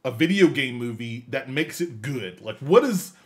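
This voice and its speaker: A man's voice: mid-range voice, expressive, normal speed. Casual male podcast host. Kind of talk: casual chat.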